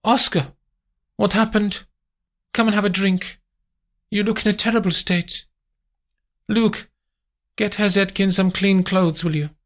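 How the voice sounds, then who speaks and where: A man reading aloud voices an imperious wealthy woman who gives crisp orders. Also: medium pitch, steady tone, measured pace, pauses often, voice pushed up, natural voice. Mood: commanding. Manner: clipped, haughty, gives orders.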